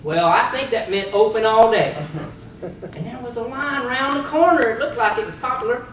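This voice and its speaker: A man's voice: very high voice, moderate pace, fairly bright tone, muffled recording. A man telling a comic tall tale to a live audience in a folksy country manner with rustic slang. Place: meeting room before an audience. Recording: echoey, noisy room recording from far off.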